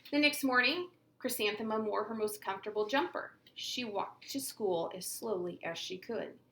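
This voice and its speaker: A female teacher reading a picture book aloud in an expressive storytelling manner. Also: medium pitch, moderate pace, echoey room, a little hiss or hum. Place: classroom.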